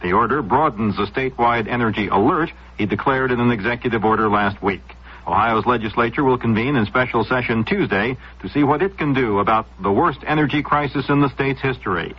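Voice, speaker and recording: Male American news anchor, formal and measured, rough-edged mid voice, dull vintage radio sound. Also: mid-range voice. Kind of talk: scripted news reading.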